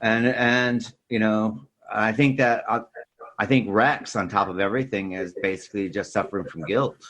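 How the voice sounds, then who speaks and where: Low-voiced man rambling through film talk. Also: steady tone, moderate pace, fairly bright tone. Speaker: podcast co-host. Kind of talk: casual film discussion.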